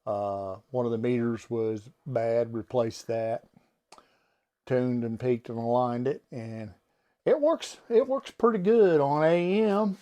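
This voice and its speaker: A man giving a casual product demonstration in a folksy, halting, unscripted way, as someone who works on radio gear. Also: mid-range voice, dark tone. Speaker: radio seller and technician demonstrating equipment.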